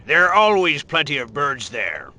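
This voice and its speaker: A grave Transylvanian village hunter. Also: male voice, fairly high pitch, wildly swooping pitch, bright, forceful tone, exaggerated voice. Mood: plain. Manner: grave, warning, slow and emphatic. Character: superstitious Transylvanian village hunter, gruff and fearful. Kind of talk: dubbed game cutscene acting. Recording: game audio with music behind.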